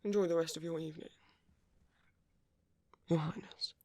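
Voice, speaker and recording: A man voicing a suave, teasing villain in a romantic ASMR roleplay, speaking softly and intimately, at times whispering. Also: a little high, steady tone, moderate pace, dry recording, lightly acted. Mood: teasing, flirtatious. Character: suave teasing villain flirting with royalty at a masquerade.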